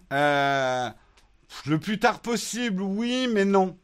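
A man from France hosting a casual morning live stream, answering viewer questions in a relaxed, hesitant way with many fillers. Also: sits high, very slow, clear, resonant tone. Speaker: host of a morning live show answering chat.

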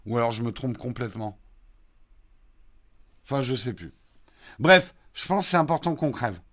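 A man from France hosting a casual morning live stream, answering viewer questions in a relaxed, hesitant way with many fillers. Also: mid-range voice, normal speed. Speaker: host of a morning live show answering chat.

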